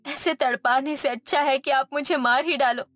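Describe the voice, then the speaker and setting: Woman acting a high-voiced meek young daughter-in-law. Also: steady tone, normal speed, fairly bright tone, dry recording, studio quality, soft background music. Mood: plaintive. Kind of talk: Hindi cartoon moral story acting.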